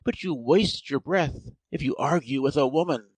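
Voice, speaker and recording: A man's voice: fairly high pitch, normal speed, dry recording, lightly acted. A man playing a wry, generous artist in a dramatized storybook reading, speaking emphatically.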